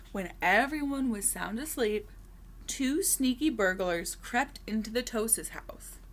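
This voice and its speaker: Animated woman reading a children's story. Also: fairly high pitch. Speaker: teacher reading a story aloud. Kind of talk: expressive children's storybook read-aloud.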